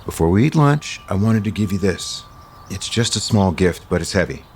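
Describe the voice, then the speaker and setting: An actor playing a gentle, earnest, considerate man in an audio soap opera. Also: low-pitched, expressive, moderate pace, a little hiss or hum. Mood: gentle, gracious.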